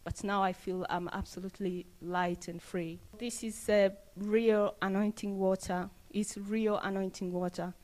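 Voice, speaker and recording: A woman, giving an earnest, halting testimony into a handheld mic indoors. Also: medium pitch, flat delivery, a little mellow. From Tanzania. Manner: earnest, halting with fillers and restarts. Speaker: church member giving testimony. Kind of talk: on-camera testimony interview. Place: indoors, plain room. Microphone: handheld interview mic held up to her.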